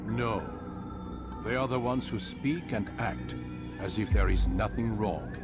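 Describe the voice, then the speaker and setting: An actor playing an older, grave leader who speaks in a measured, instructive way, over a loud game score. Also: male voice, lowish voice, over loud music, close to an everyday voice.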